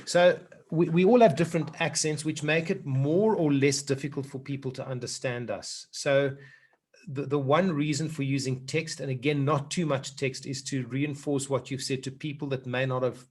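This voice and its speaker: A middle-aged man with a South African accent coaching an online group on public speaking. He talks earnestly at a middle pitch and repeats words as he gets going. The sound is that of a video call. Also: fairly even intonation, normal speed, darkish tone. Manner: earnest, repeats words when starting a point. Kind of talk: online workshop talk.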